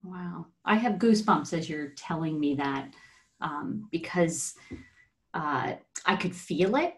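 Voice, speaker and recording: Woman, slightly low pitch, interviewer, reflective conversation, attentive, measured, affirming. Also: expressive.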